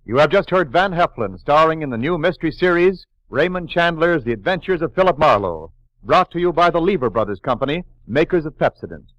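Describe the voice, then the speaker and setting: A man's voice: fairly high pitch, expressive, brisk, a bit bright, no room sound, soft background music. An American man announcing in a polished, promotional 1940s radio style. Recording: muffled dry vintage radio recording.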